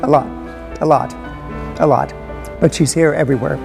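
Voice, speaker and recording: A man's voice: on the high side, steady tone, moderate pace, creaky, over music. A middle-aged or older man giving reflective interview answers, repeating phrases for emphasis. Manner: emphatic repetition. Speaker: interview guest, entrepreneur.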